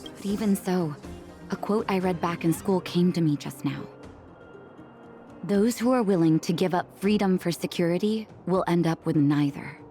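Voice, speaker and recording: A woman voicing a calm, thoughtful, caring woman in a video game, measured and hesitant. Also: fairly low pitch, soft background music, natural voice. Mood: thoughtful, resolute.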